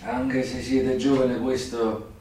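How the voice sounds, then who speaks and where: An actor playing a worldly, sly old mafia turncoat in a radio drama, with a southern Italian accent and weighty short phrases between long pauses. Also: male voice, mid-range voice, flat delivery, warm tone, a little hiss or hum, natural voice. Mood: knowing, grave. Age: older. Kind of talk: theatrical radio drama acting. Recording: very echoey stage, distant microphone.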